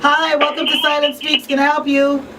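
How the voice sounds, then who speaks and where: Higher-voiced woman hosting live radio. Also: little pitch movement, normal speed, recorded from a distance. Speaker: radio talk show host. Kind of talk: live radio chat with listeners. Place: small radio studio. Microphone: studio microphone on boom arm. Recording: off-mic room sound, faint noise.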